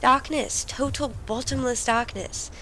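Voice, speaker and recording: A woman's voice: high-pitched, a little slow, a bit bright, poor audio. A woman playing an earnest, wounded, devoted boy in an audio drama, speaking imploringly and intimately. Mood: pleading, earnest. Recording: faint background noise and ambience.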